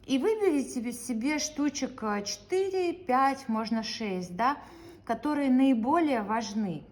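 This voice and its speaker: Woman w/ a slightly high voice, walks viewers thru a goal-setting practice, lots of "a" fillers. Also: lively intonation, a little slow, darkish tone. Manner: talks with hesitant "a" fillers; addresses viewers directly. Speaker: YouTube channel host.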